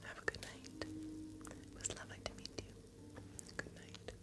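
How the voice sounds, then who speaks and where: A woman playing a courteous otherworldly shopkeeper in a soft-spoken, breathy ASMR fantasy roleplay, unhurried and gently attentive. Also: lightly acted. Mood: softly ominous, kind.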